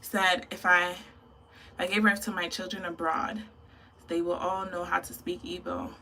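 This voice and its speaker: A young woman from Ghana chatting casually to camera as a beauty vlogger, thoughtful and quick to laugh. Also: lowish voice, flat delivery, bright, forceful tone, distant mic, slight background noise, poor audio.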